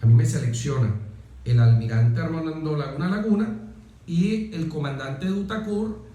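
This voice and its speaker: Interview guest: Venezuelan man, middle-aged military officer, mellow tone, emphatic storyteller, heavy room echo. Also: a little high, moderate pace. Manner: emphatic repetition, rhetorical questions. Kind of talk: conversational anecdotal interview. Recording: very echoey, reverberant room.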